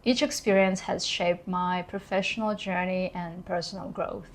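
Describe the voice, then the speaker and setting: A woman's voice: on the low side, fairly even intonation, moderate pace. Reflective Kazakh woman vlogger in her thirties. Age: 30s. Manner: earnest, reflective, occasionally laughing. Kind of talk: personal vlog monologue.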